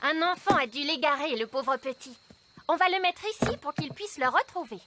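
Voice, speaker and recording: A woman voicing a polite, cheerful, unsuspecting schoolteacher in a children's cartoon, brisk and encouraging. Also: high-pitched, expressive, background noise. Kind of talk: French-dubbed children's cartoon acting.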